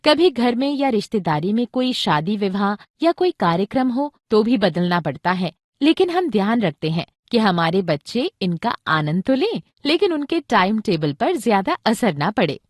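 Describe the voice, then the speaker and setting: Lively, practical Indian mother. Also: medium pitch, moderate pace, hardly any pauses, no echo, clean studio sound. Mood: earnest, instructive. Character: practical, caring Indian mother explaining parenting to her husband. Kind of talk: scripted educational audio drama dialogue.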